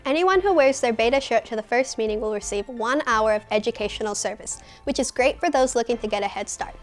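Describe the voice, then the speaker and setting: A teenage girl reads a club announcement quickly and eagerly. Her voice sits a little high. Faint music plays underneath. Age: teens. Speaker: student club president. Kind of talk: scripted announcement.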